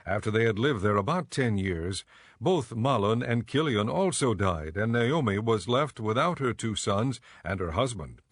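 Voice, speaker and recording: Casting: male narrator for dramatized scripture, lowish pitch, measured and solemn, dry studio sound. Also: animated, breathy edge, no room sound.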